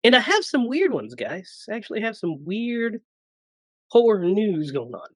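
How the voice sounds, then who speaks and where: A man in his thirties hosting a casual podcast, hoarse from a cold and joking around with his co-hosts. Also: high voice, big pitch swings, normal speed, no room sound, studio quality. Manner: joking, laughs often. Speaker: podcast host.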